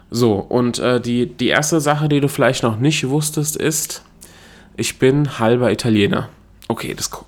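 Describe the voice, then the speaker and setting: A young adult man from Germany hosting his own solo podcast, talking in a chatty, self-correcting way and laughing at himself. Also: medium pitch. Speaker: podcast host. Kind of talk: casual solo podcast monologue.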